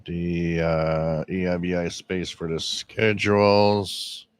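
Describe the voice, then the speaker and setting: Hoarse, very deep-voiced man hosting a live stream. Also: fairly even intonation, takes it slowly, no room sound. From Quebec, Canada. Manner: rambling, many fillers and restarts. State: scratchy, hoarse voice. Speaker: radio hobby livestream host. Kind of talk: casual live stream chat. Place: home radio room with air conditioning running. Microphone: directional microphone.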